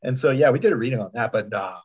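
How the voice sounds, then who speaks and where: Man, slightly husky voice, lively swooping pitch, emphatic rambling storyteller, casual interview chat. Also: rapid-fire. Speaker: podcast producer and sound editor.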